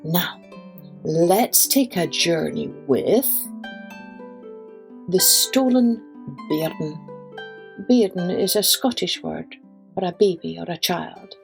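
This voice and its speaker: A woman narrating a children's folk tale in a deliberate, expressive storyteller's manner. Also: lowish voice, vocal fry, soft background music. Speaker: storyteller, podcast host.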